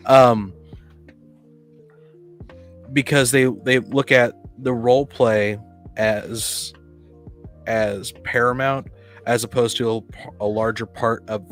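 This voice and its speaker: A man's voice: mid-range voice, steady tone, slow, pauses often, soft background music. A man in a casual podcast discussion, blunt and opinionated, often stumbling and repeating his words. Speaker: podcast panelist. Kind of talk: casual opinionated discussion of tabletop roleplaying games.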